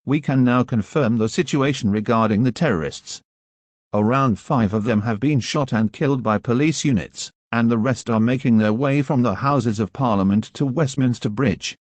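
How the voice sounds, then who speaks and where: A man reading a scripted emergency news announcement in a formal, measured way. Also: medium pitch, darkish tone, dry recording.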